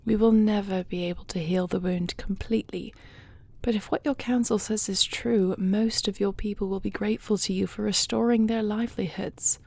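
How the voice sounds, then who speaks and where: A woman playing a dragon queen and devoted wife in an audio roleplay, speaking in a formal, measured, earnest way. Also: mid-range voice, steady tone, creaky, darkish tone. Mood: reassuring, resolute.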